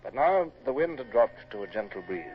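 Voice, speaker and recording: An actor playing a stern, commanding British naval captain in a radio drama, formal and crisp in manner. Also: male voice, mid-range voice, big pitch swings, brisk, soft background music, natural voice. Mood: measured narration. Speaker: lead actor and narrator. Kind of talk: vintage radio drama acting and narration. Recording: dull, faintly noisy old radio recording.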